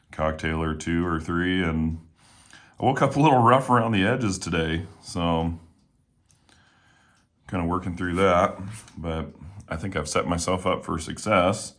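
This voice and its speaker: A man casually talking while taking apart and cleaning a knife at home, a little hoarse today, relaxed and rambling with plenty of fillers. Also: deep voice. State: slightly hoarse. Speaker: knife reviewer. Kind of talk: casual talk while working. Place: home room with windows.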